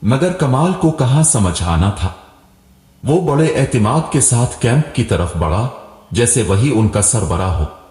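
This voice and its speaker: A man's voice: medium pitch, big pitch swings, unhurried, a little mellow. A man narrating a scripted moral story as a storyteller. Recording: roomy echo with long reverb, music behind. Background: music.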